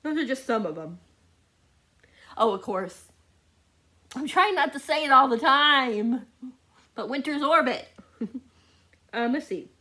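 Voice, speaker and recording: A woman with a fairly high voice talks in a casual, chatty vlog style. She gushes as she goes, doubling up words for emphasis and speaking straight to her viewers. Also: animated, slow pace, long pauses, bright, forceful tone. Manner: gushing, repeats words for emphasis. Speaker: book vlogger.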